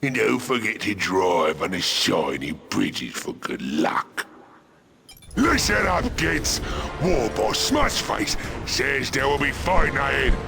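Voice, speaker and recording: Game casting: loot-hungry ork brute, heavily gravelled, wildly swooping delivery, bellowing over loud music. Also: male voice, medium pitch, sing-song, slow, exaggerated voice. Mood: bossy. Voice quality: rough, gravelly growl. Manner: crude, ungrammatical, bellowing. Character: brutish, excitable, loot-hungry ork warband thug. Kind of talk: video game cutscene acting.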